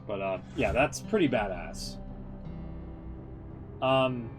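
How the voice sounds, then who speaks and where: A male game streamer talks casually with music playing behind him. He rambles through his opinions in slangy, sweary language at a middling pitch. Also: expressive, takes it slowly. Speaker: video game livestreamer. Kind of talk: casual, rambling ranked commentary.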